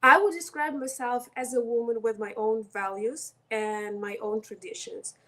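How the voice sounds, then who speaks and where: Ukrainian-accented woman guesting on a podcast, bit high pitched, leans hard on her words. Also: fairly even intonation, moderate pace, bright, forceful tone. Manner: earnest and emphatic, stresses words one at a time. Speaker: guest, coach. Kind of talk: podcast interview.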